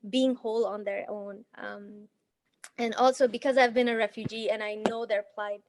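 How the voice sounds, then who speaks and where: A woman who grew up in Afghanistan, a healer guest in an interview, talking earnestly with many pauses, fillers and restarts. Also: a little high, fairly even intonation, noisy background. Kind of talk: casual interview conversation.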